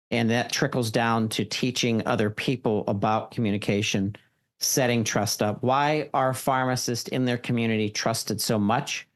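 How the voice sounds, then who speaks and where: A man hosting a podcast interview, asking long, winding questions with restarts. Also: medium pitch. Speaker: podcast host.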